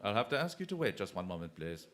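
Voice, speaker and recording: An actor playing a shrewd, commanding detective in a radio drama, speaking formally and deliberately with dry, theatrical mockery. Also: male voice, lowish voice, very animated, over a noisy crowd. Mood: urgent, commanding. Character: shrewd, commanding male detective, coolly taunting and unflappable.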